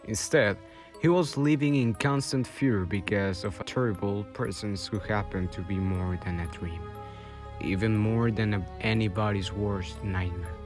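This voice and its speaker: A man's voice: on the low side, measured pace, over music. A man giving dramatic scripted narration for a radio drama.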